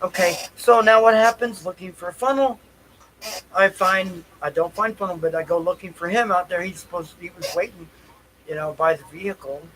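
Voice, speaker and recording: A man telling a casual, rambling story on a livestream in a halting, repetitive, folksy way. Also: high-pitched, pushed and bright, a little hiss or hum, rough recording. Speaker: livestream host. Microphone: stream microphone.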